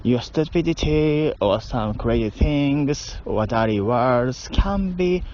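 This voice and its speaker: Slow, tipsy Japanese-accented man. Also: mid-range voice, expressive, background noise. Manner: playful, chuckling, slow and deliberate. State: drunk. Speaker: language learner. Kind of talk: casual voice diary monologue. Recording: dull lo-fi recording.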